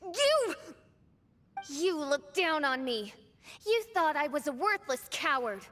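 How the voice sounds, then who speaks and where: A woman's voice: high-pitched, big pitch swings, takes it slowly, a bit bright, exaggerated voice. A woman voicing a proud young princess in a video game, with a dramatic, declamatory and grandiose delivery. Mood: defiant, impassioned. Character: proud, theatrical young princess facing her mocking dark self. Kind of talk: theatrical video game voice acting.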